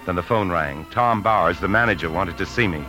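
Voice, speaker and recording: An actor playing an outwardly calm but secretly anxious bank man, with tense, clipped delivery in 1950s radio drama style. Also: sits low, a little fast, music in the background, natural voice. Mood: grave, confiding. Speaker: lead actor and narrator. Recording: vintage 1950s radio broadcast.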